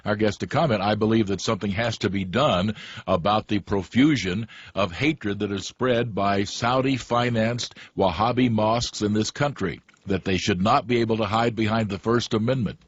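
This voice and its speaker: A man hosting a call-in radio talk show, speaking in a dry, opinionated, measured way. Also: on the low side, husky, no room sound. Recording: dull dry studio radio sound.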